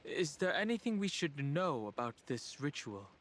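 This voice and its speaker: An actor playing a composed, observant traveling companion in a video game, a young man speaking in a measured, reflective and analytical way. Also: on the high side, very animated, a bit bright. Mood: calm. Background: background music.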